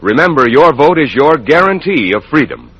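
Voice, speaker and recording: An American male announcer reads a scripted public service message. His voice is husky with animated intonation, on a dull old radio recording. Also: on the high side, moderate pace. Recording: muffled old radio broadcast.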